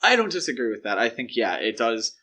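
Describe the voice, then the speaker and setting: A man hosting a podcast in casual, opinionated discussion, talking in a conversational way with measured, hedged opinions. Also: medium pitch, big pitch swings, bright, forceful tone. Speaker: podcast host.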